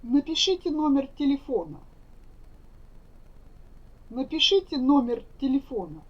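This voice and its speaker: High, lively woman teacher enunciating carefully. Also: measured pace. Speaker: Russian language teacher. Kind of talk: slow lesson phrases repeated for learners. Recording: dull lo-fi recording.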